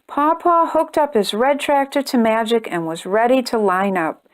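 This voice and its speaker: Woman, somewhat high pitch, children's read-aloud, expressive storyteller, deliberate emphatic phrasing. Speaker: storytime reader and host.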